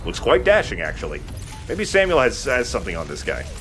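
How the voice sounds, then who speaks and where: A man's voice: mid-range voice, huge pitch swings, brisk, fairly bright tone, faint music behind. A man giving casual, dryly joking commentary on a video game, with informal wording and some mild swearing. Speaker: let's play host. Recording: clear background noise.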